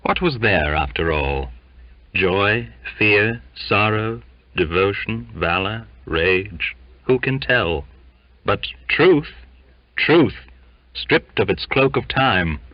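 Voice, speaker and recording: A man narrating a literary audiobook in a brooding, theatrical manner with deliberate phrasing. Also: lowish voice, lively intonation, no room sound. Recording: dull, lo-fi audio.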